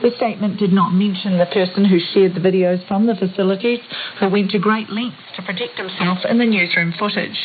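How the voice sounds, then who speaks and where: A woman's voice: medium pitch, steady tone, brisk. A woman reading a scripted radio news report. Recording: narrowband shortwave radio, faint hiss.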